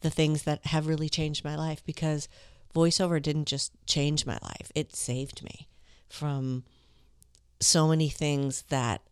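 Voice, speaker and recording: Low, smooth-voiced woman voice actor. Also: unhurried, no room sound, studio quality. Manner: animated, tells stories with quoted dialogue. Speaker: voice actor guest. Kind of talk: conversational interview storytelling.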